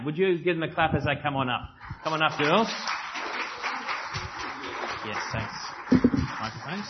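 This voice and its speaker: Chatty pastor, slightly high voice. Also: male voice. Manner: relaxed, chatty, filler-heavy. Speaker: pastor leading a church service. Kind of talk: informal church address, hosting guests. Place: church sanctuary. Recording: dull lo-fi church recording.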